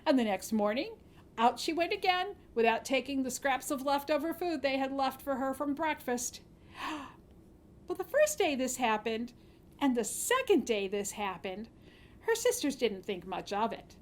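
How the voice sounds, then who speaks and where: A woman telling a fairy tale to children in an expressive, dramatic manner with informal asides. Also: high-pitched, normal speed. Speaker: children's storyteller at a library storytime.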